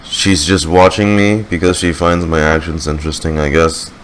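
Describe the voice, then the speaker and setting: A woman's voice: very deep voice, level tone, normal speed, a little hiss or hum. A woman giving casual reaction commentary as a streamer while playing a game. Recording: game music behind.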